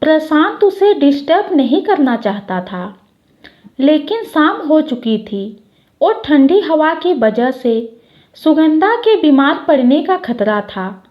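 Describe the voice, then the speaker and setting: A woman with a high voice tells a scripted story at an unhurried pace. She narrates dramatically as a storyteller. The recording sounds slightly dull. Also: big pitch swings.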